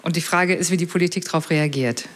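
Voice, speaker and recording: A woman co-moderating a panel, summarizing a question clearly. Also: on the low side, quick pace. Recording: hall reverb, distant.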